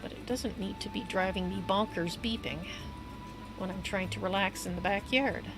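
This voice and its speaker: A woman livestreaming a game, chatting in a loose, rambling way with a hoarse voice and easy laughs. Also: mid-range voice, normal speed. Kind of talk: casual rambling chat while gaming. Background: upbeat game music.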